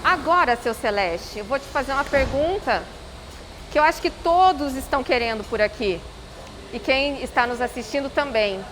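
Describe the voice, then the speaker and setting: A woman reporter asks lively, direct questions in a high voice. Steady background noise runs clearly under her words. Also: normal speed, poor audio. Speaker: reporter and interviewer. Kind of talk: TV interview.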